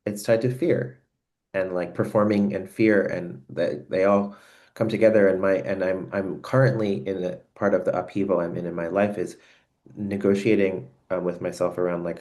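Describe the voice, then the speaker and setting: Deep-voiced man, earnest and searching. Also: steady tone, normal speed, a little mellow. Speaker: host. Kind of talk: reflective conversation. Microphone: video call.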